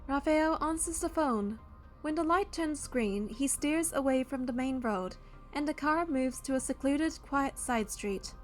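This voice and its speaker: A woman's voice: sits high, a little slow, faint music behind. A woman reading a video game's story text aloud, with casual asides as she plays.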